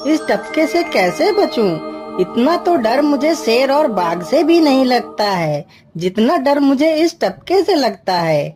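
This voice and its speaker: Woman voicing a fretful, slow-talking village farmer. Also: high voice, very animated, over music, voice pushed up, exaggerated voice. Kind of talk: Hindi cartoon story dialogue.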